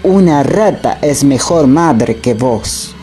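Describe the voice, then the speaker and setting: An Argentine actress playing a spiteful, gloating, scheming twin sister in a radio comedy melodrama, sneering and taunting theatrically. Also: female voice, a bass voice, animated, slow, mellow, faint music behind, heavily acted. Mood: accusing.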